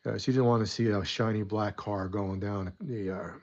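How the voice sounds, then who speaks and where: A man's voice: on the low side, fairly even intonation, moderate pace, a little mellow, dull audio. A man hosting a casual hobby weathering tutorial at his desk, chatty and rambling, a bit stuffy from a coming cold. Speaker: model weathering host.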